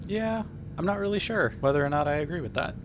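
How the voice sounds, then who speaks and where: A man playing a tabletop game, speaking in character in a casual, wry, deadpan way. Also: fairly high pitch, fast talker, noisy background. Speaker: player. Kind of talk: in-character roleplay chat.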